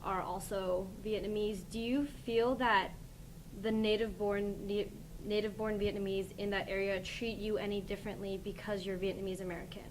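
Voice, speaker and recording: Flat-toned woman interviewer, noisy recording. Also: medium pitch. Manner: measured, careful questions with "um" fillers. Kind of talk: interview questions. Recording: noisy recording with ambient hiss.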